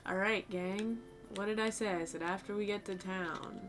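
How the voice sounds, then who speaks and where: A young woman streamer with a low, fried voice, chatting casually with her viewers in a rambling, loose way, her voice a little tired and sore. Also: fairly even intonation, moderate pace. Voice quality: low voice with vocal fry. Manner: rambling, talks to chat, swears casually. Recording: faint music behind.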